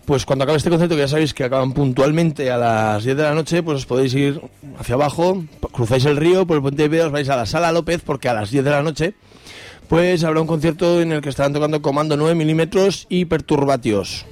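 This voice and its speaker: A man's voice: on the high side, fast talker, darkish tone. A man with a Spanish accent from Spain co-hosting a radio show, giving concert listings in an informal, chatty, colloquial way. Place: radio studio. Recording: music playing behind.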